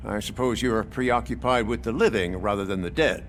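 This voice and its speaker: An actor playing a wry, talkative hospital morgue worker in a video game, with a droll, chatty delivery. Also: male voice, on the low side, normal speed, slight background noise, lightly acted. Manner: droll, dryly morbid chattiness.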